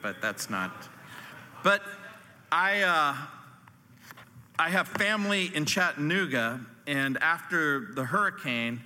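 Bright-voiced American preacher in his sixties, reverberant hall. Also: on the high side. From New Orleans, southern United States. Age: 60s. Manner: deliberate, dramatic pauses, dry joking. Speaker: pastor, guest speaker. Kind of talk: sermon with folksy humour. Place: large indoor hall. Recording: echoey hall, long reverb.

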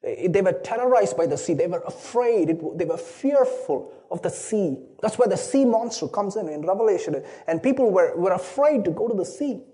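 A man's voice: high-pitched, animated, moderate pace, few pauses, warm tone. A man from Chennai with an Indian accent, a native Tamil speaker, preaching a sermon with a personal story and restarting phrases often. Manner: earnest, storytelling, repeats words at the start of phrases. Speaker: pastor.

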